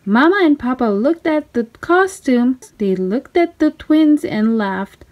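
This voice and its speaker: Slow, animated woman reading a storybook. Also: sits high, lo-fi sound. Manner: expressive, deliberate phrasing for children. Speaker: storybook narrator. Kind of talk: children's read-aloud narration.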